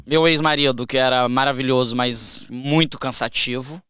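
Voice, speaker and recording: A young Brazilian man, a podcast guest, telling a story in a casual, animated way, with frequent restarts. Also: medium pitch, moderate pace, clean tone, dry recording, clean studio sound. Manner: animated, acts out quoted voices, trails off and restarts.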